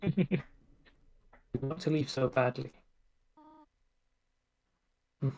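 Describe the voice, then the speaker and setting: Slow, solemn motherly monster guardian played by a man. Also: mid-range voice, creaky, a little mellow. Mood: solemn, challenging. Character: Toriel, gentle motherly monster guardian, pleading and stern. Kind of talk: dramatic reading of game dialogue.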